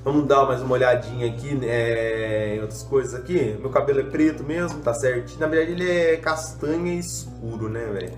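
A man's voice: mid-range voice, normal speed, few pauses. A young man talks through a game in a loose, slangy, rambling way, poking fun at himself as he goes. His voice is a little stuffy from a cold. The room around him is echoey, with faint music playing underneath. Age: young adult. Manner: slangy, rambling, self-mocking. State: slightly congested from a cold. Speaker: gaming youtuber. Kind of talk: casual gameplay commentary.

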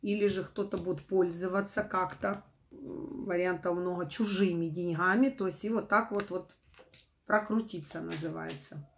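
A middle-aged woman with a not fully recovered voice, giving an informal tarot forecast to camera in a halting, rephrasing way. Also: lowish voice, fairly even intonation, slight background noise. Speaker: tarot reader, host. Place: small room at home, indoors.

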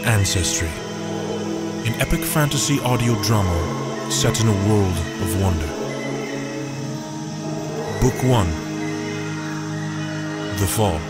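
A man's voice: lowish voice, slow pace, long pauses. A man announcing the title of a fantasy audio drama in an epic, trailer-like style. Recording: loud music behind.

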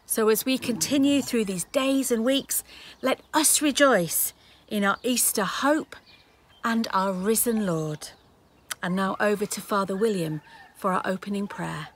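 A woman's voice: mid-range voice, unhurried. A middle-aged woman minister giving a devotional address to introduce a church service. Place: outdoors in a leafy garden. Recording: outdoor ambient noise.

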